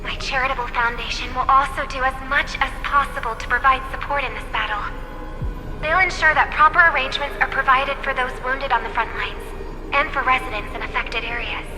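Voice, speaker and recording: A woman voicing a gentle earnest young singer. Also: high-pitched, brisk, natural voice. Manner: soft, sincere, formal. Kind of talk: game cutscene voice acting. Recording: reverb with game music behind.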